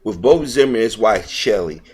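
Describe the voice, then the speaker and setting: Low-pitched man reading news with commentary. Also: expressive, brisk, darkish tone, husky. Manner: stumbles over words while reading; earnest, opinionated. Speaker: online video commentator.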